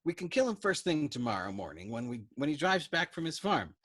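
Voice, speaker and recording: A man voicing an overwrought, pugnacious airman in an audiobook, loud and frantic, with a rough, quavering edge. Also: on the high side, sing-song, brisk, pitched above the performer's own voice. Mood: urgent. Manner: loud, frantic, fails at whispering. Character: overwrought, pugnacious young male airman plotting murder.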